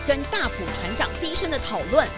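A woman narrating a children's mystery story in an animated scripted style. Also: sits high, fairly quick, over loud music.

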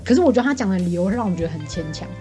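Fast-talking Taiwanese woman venting casually. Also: medium pitch, animated, mellow, faint music behind. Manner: talkative, exasperated complaining. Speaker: podcast co-host venting as a bar customer. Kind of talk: casual chat, animated storytelling.

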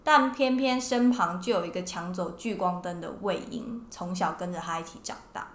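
A woman's voice: on the low side, moderate pace. A woman co-hosting a casual podcast conversation about fiction. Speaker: podcast co-host. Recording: roomy echo, faint background noise.

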